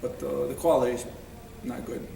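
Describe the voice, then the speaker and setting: A man's voice: medium pitch, fairly even intonation, a little fast, gravelly. A young man in his twenties from Syria, a student guest, answering plainly with fillers. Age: 20s. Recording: echoey room, far from mic, noise.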